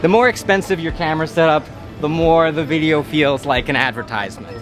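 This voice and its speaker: A man's voice: on the high side, fairly quick, music in the background. A young man from Romania with an American accent, chatting casually about food in an interview. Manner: relaxed, rambling with fillers. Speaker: food video creator.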